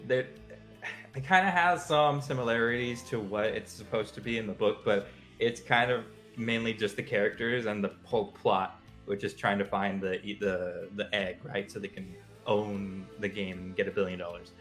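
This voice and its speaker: A man's voice: on the low side, normal speed, fairly bright tone, faint music behind. A man co-hosting a live stream, chatting in an informal, conversational way. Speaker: co-host. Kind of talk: casual chat on a live stream.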